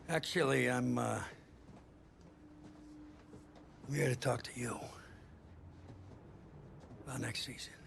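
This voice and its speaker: Gravelly, halting football coach. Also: male voice, mid-range voice, steady tone, background noise. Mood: reserved, awkward. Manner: halting, deliberate, plain-spoken. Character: earnest, firm middle-aged male college football coach. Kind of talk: naturalistic video game drama acting.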